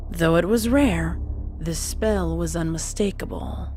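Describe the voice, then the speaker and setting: Low-pitched woman narrating a story. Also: a little slow, music in the background. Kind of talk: scripted dramatic narration.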